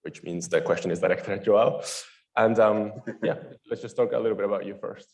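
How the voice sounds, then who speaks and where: A young man moderating a conference panel, reading out guest introductions in a chatty, lively way with small laughs. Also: lowish voice, brisk, vocal fry.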